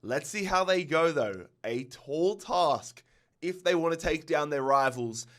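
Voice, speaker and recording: A man hosting a gaming video with excited commentary, his voice nasal and congested from a head cold. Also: a little high, measured pace.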